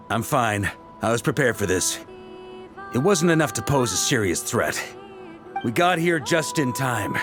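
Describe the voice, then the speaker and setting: An actor playing a confident, easygoing seasoned warrior in a video game, explaining things in a measured, theatrical way. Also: male voice, medium pitch, close to an everyday voice. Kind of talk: video game character dialogue. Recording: background music under voice.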